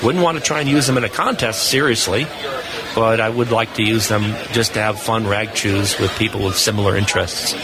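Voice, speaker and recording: A man's voice: lowish voice, fairly even intonation, fairly quick. A man speaking as an expert guest on a live show, explaining technical points casually and with enthusiasm. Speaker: radio lab expert guest. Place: busy hamfest exhibit hall, live booth. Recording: live from a crowded convention floor. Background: crowd noise.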